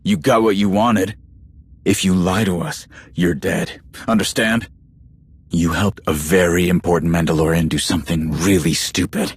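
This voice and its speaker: Tough game warrior: lowish, rough-edged voice, hot-headed and blunt. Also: male voice, steady tone, moderate pace, exaggerated voice. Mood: menacing, blunt. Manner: blunt, threatening. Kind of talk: video game voice acting.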